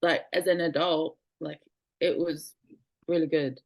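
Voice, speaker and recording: A young woman from New Zealand, a guest in a casual video-call interview, speaking softly and hesitantly with many fillers. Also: deep voice, steady tone, a little slow, no echo.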